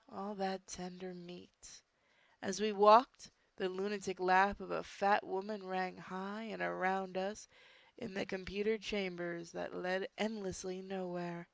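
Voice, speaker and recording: A woman reads a dark story aloud at an unhurried pace, with a medium-pitched voice in a dry recording. She is informal about it, stumbling on words and slipping into blunt casual asides. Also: no echo. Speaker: story reader. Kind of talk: amateur dramatic reading of a horror story with joking asides.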